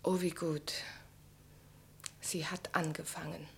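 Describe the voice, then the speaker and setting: An actress playing an anxious, self-reproachful, refined wife in a radio play, with a hushed, intimate delivery. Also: female voice, low voice, level tone, slow, gravelly, husky, exaggerated voice. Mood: nervous, tender. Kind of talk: German radio play acting.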